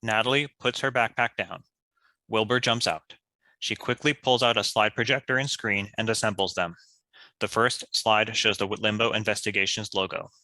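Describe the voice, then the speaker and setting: Bright, dry-sounding male script narrator. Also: fairly low pitch, fairly even intonation, moderate pace, dry recording. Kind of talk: scripted narration of screenplay action.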